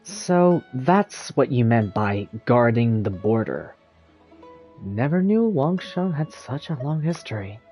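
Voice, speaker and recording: An actor playing a calm, skeptical young traveler in an anime game, understated and wry. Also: medium pitch, takes it slowly. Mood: curious. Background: music.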